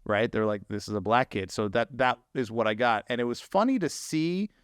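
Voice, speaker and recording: A man's voice: mid-range voice, animated, fairly quick, smooth, no echo, studio quality. A man from New Jersey hosting a podcast in casual chat, talking loosely with lots of filler words. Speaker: podcast host.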